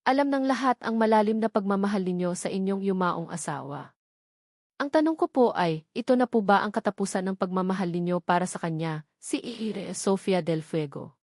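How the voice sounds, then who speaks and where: Pointed, formal female press reporter, voiced by a narrator, audiobook drama, medium pitch, dry sound. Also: animated, fairly quick, a little mellow, dry recording. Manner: formal, probing. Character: sharp-eyed female news reporter probing a billionaire.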